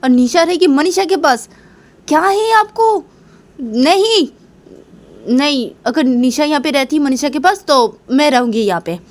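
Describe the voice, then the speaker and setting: A woman's voice: medium pitch, fairly even intonation, normal speed, long pauses, darkish tone, lightly acted. A woman voicing a firm, protective older mother in a family story, insisting and reproaching as she repeats herself. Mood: insistent.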